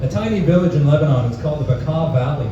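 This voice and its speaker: A man's voice: fairly high pitch, lively intonation, rapid-fire. A young Canadian man doing a live stand-up set, casual and full of "uh" fillers, chuckling at his own jokes. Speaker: stand-up comedian. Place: pub comedy room, live audience. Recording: echoey bar room, distant mic, dull sound, noisy.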